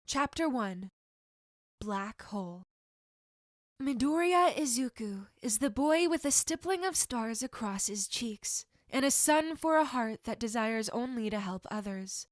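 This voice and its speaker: A woman's voice: fairly high pitch, expressive, a little slow, no room sound, clean studio sound. A woman reading fan fiction aloud as a scripted story narration.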